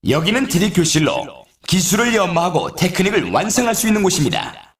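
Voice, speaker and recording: A man's voice: high voice, wildly swooping pitch, a little fast, a crowd in the background. A man playing an upbeat, showy sports announcer in a video game, with polished, scripted commentary delivery. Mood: instructive, encouraging. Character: male snowboard race announcer, upbeat and showy. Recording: echoey, distant-sounding game audio.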